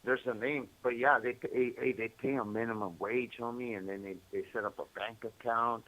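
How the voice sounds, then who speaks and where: A man's voice: mid-range voice, steady tone, normal speed, highs cut off. A man calling by phone from prison, chatting casually in slangy, repetitive bursts. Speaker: incarcerated caller. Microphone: phone call.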